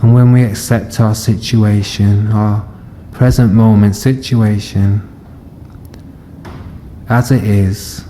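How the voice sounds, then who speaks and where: Male meditation teacher, mellow and very slow, gentle phrasing with long pauses, recorded in a reverberant hall. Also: fairly low pitch, steady tone, a little hiss or hum. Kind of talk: guided body scan meditation. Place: live session in a reverberant room.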